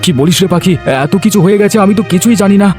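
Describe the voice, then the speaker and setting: A man voicing a proud, excitable village father in a cartoon, exclaiming and animated. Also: sits high, rapid-fire, faint music behind, lightly acted. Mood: proud amazement. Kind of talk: Bengali cartoon voice acting.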